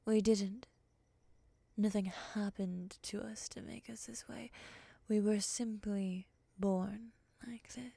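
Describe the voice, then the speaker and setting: A woman acts out a possessive female moth monster in an ASMR roleplay. Her voice is breathy, with a creaky edge, recorded very dry and close. She speaks formally and condescendingly, with quiet menace. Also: medium pitch, fairly even intonation, dry recording, heavily acted. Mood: stern, offended. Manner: hushed, slow, formal and condescending.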